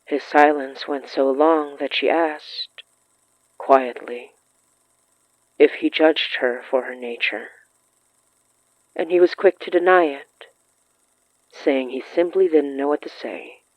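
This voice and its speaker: A narrator reading scripted period fiction in a measured, deliberate way with pauses. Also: medium pitch, dry recording, muffled recording. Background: background music.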